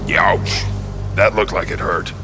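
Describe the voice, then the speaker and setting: A man voicing a big, cantankerous non-human brute in a video game, gruff, gravelly, sarcastic and bitter toward humans. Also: low-pitched, fairly even intonation, takes it slowly, music in the background. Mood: dry, wary. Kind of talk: video game cinematic acting.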